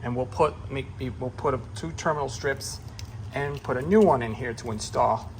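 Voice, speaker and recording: A man with a mid-range voice narrates his own hobby repair as he goes. His delivery is casual and halting, often pausing to work things out aloud. It is a homemade recording with clear noise behind the voice. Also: medium pitch. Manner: halting, hesitant, thinking aloud. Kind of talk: casual unscripted how-to narration while working.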